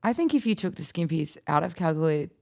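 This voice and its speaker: A woman's voice: low-pitched, big pitch swings, fast talker, creaky, darkish tone. A young Australian woman co-hosting a casual podcast, asking questions and adding dry quips. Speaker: podcast co-host. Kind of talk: casual chat.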